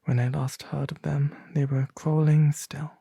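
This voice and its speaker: A man playing a melancholy, genteel owl demon prince, reading a storybook aloud in a soft, refined, breathy ASMR voice. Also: on the high side, little pitch movement, normal speed, no room sound, studio quality. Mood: gentle, sombre. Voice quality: breathy, soft, often half-whispered. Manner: refined, gentle, formal diction.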